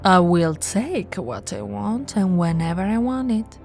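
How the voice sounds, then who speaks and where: A woman voicing a possessive, seductive, haughty vampire noblewoman in an intimate roleplay, with theatrical drawn-out words and breathy whispers. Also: medium pitch, lively intonation, darkish tone, soft background music. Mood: possessive, predatory. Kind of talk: intimate ASMR audio roleplay.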